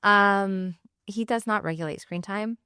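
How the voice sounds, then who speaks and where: A woman's voice: mid-range voice, unhurried, sharp tone, clean tone, dry recording, studio quality. A woman, chatting casually as a podcast co-host. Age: 30s. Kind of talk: casual chat.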